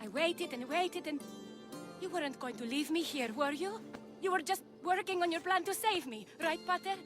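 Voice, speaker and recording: A woman's voice: very high-pitched, normal speed, over music. A woman playing a brave, hopeful young daughter in a video game, speaking with innocent earnestness. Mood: hopeful, pleading. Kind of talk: video game cutscene acting.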